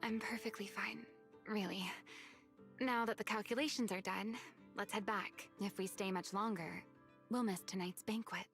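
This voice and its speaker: An actress playing a composed, wistful young female fighter in an anime game, speaking in a measured, earnest way. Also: medium pitch, steady tone, fairly bright tone, close to an everyday voice. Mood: hesitant, reassuring. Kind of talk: scripted anime game acting. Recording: game music behind the voice.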